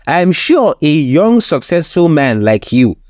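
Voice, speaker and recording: A storyteller voicing a seductive, cunning she-devil who poses as a beautiful woman and talks in a soft, coaxing way. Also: mid-range voice, big pitch swings, a little slow, no echo, studio quality. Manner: soft, coaxing, smooth-talking.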